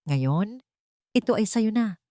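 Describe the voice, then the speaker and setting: A woman's voice: mid-range voice, expressive, slow, warm tone, no echo, studio quality, pitched above the performer's own voice. A woman voicing a remorseful, pleading old grandfather, a wealthy patriarch, in a solemn and sentimental audio drama style. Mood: tender, pleading.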